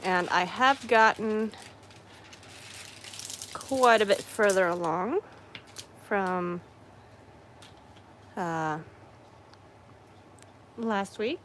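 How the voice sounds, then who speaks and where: Hoarse woman in a slow, rambling chat. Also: medium pitch, a bit bright, slight background noise. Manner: rambling, with frequent throat-clearing and self-corrections. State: hoarse, losing her voice. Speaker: craft streamer. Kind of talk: casual livestream chat while crafting.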